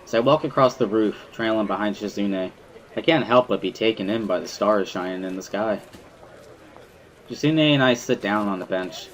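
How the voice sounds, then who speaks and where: A man's voice: on the low side, moderate pace, poor audio. A man reading a visual novel as first-person dramatic narration, in an earnest, brooding storytelling manner. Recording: music behind the voice.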